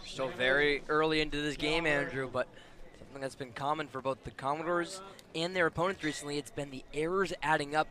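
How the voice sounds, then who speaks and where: A man's voice: on the high side, fairly even intonation, fairly quick, a crowd in the background. A man giving color commentary on a baseball radio broadcast in a conversational, analytical way. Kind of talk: live radio sports analysis.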